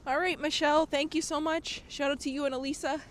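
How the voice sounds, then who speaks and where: A woman's voice: high voice, little pitch movement, fast, a bit bright. A woman live-streaming outdoors at night, reading viewer comments in a chatty way, a bit nasal from a cold. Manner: chatty, laughs often. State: recovering from a cold, slightly nasal. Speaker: IRL streamer. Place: outdoors on city streets at night.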